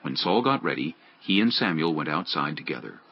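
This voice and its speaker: A man's voice: extremely low pitch, very animated, fairly quick, breathy edge. A man reading scripted dramatic narration for an audio Bible. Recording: dull lo-fi audio with music. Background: background music.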